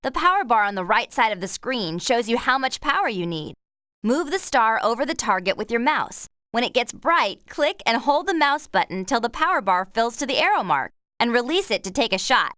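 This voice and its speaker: An actress playing a cheerful, helpful young woman horse rider, guiding a video game player in a clear, upbeat, encouraging way. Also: a little high, sing-song, normal speed, sharp tone, no room sound, clean studio sound. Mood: friendly, instructive. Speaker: game lead character and tutorial guide. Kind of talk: scripted video game instruction and dialogue.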